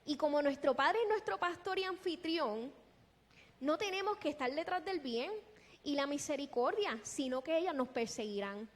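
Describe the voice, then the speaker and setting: A woman preaching a sermon in a deliberate, emphatic way, posing rhetorical questions. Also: high-pitched, lively intonation. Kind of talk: sermon with scripture exposition. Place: large echoing church hall. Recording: long reverberant hall sound.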